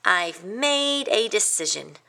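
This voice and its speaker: A bright, confident little pig who brushes off every worry, as a woman reading aloud puts him on. His voice runs lively and sing-song in a storybook telling. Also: mid-range voice, slow, vocal fry, heavily acted. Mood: breezy. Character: confident young male pig, cheerful, determined.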